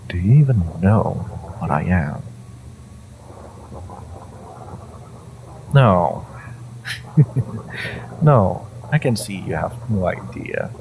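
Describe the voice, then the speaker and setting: A man playing an ancient, possessive hive-mind entity in an audio drama, condescending and theatrical, with amused menace and a low, creaky voice. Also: big pitch swings, darkish tone, a little hiss or hum, exaggerated voice, poor audio. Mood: possessive, menacing. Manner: theatrical, condescending, halting with drawn-out menace and chuckles. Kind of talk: scripted audio roleplay addressed to the listener.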